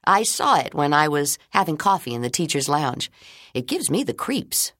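A woman's voice: sits low, big pitch swings, moderate pace, a bit bright, dry recording, studio quality, heavily acted. A woman voicing a good-humored female second-grade teacher in an audiobook. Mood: amused.

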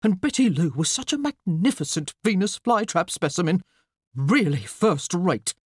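A woman's voice: on the low side, sing-song, moderate pace, husky, dry recording, clean studio sound. Woman putting on a gloomy, put-upon father. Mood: gloomy, mournful. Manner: snuffling, sighing, mournful. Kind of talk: audiobook character voice.

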